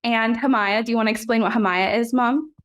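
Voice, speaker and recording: A woman's voice: on the high side, fairly quick. A young adult woman guest-hosting a podcast, talking in a bubbly, giggly way with plenty of fillers. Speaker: guest host. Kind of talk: casual family chat.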